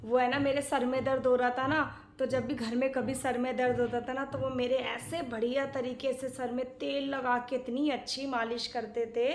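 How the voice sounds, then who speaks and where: Nagging auntie played by a woman, older and old-fashioned, high voice, moralizing comedy skit, echoey room. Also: moderate pace, fairly bright tone, slight background noise. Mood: gossipy, boastful. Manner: dramatic, moralizing, chatty. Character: scolding, old-fashioned older aunt, nagging and self-righteous. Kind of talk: domestic comedy skit acting.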